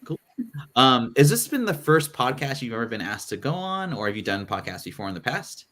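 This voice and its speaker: A man's voice: mid-range voice, sing-song, brisk, a bit bright. A man hosting a live podcast panel, talking loosely with lots of fillers and restarts. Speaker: podcast host. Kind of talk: casual live panel chat.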